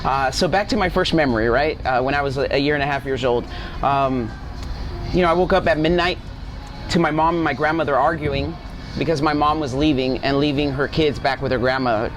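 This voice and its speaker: A man's voice: on the high side, fairly quick, over music. A forty-year-old man from Guatemala telling a personal story earnestly as an interview guest, often checking for agreement. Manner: earnest, seeks agreement with tag questions. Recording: outdoor traffic noise. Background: street traffic and vehicles.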